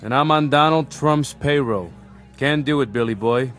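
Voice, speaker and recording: An actor playing a skeptical, wry bartender with dry, matter-of-fact wisecracks in a screen drama. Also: male voice, mid-range voice, normal speed, fairly bright tone, faint music behind, natural voice. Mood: dry, friendly. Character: skeptical, wry male bartender who won't give credit.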